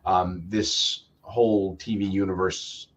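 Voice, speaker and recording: A man's voice: low voice, level tone, takes it slowly, a little hiss or hum. A man co-hosting a casual show review, talking hesitantly with many stammered restarts.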